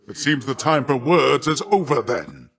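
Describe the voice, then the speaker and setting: An actor playing a boastful, jovial but quick-tempered sea god uncle in a video game, with a pompous, hearty, theatrical delivery. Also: male voice, medium pitch, sing-song, gravelly, a bit bright. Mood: offended, menacing.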